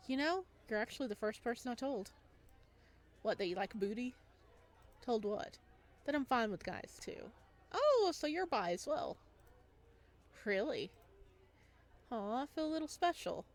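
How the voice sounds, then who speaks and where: A woman gaming streamer with a giggly, playful manner, reading visual novel text aloud and commenting as she plays. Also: fairly high pitch.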